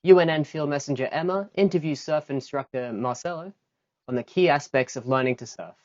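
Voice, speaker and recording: A news presenter reading scripted narration in an even manner. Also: medium pitch, brisk. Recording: dull lo-fi sound.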